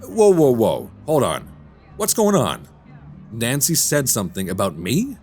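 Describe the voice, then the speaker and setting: A man's voice: medium pitch, wildly swooping pitch, measured pace, soft background music. A man playing an affable, self-deprecating bachelor writer in a radio drama, with a wry, theatrical way of talking. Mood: eager, intrigued.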